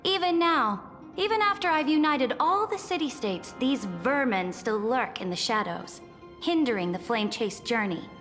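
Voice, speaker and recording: A woman's voice: on the high side, animated, moderate pace, a bit bright. A woman playing an imperious, conquering empress in a video game, haughty and commanding in a grand, formal, declamatory way. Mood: cold, contemptuous. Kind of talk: dramatic video game voice acting. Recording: long hall reverb, music behind.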